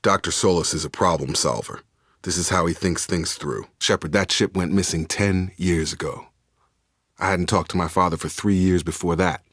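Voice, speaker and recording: An actor playing a loyal, earnest young space soldier in a video game, with a straight-talking military manner. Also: male voice, low-pitched, steady tone, moderate pace, fairly bright tone, no room sound, studio quality. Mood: steady, serious. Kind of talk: video game character dialogue.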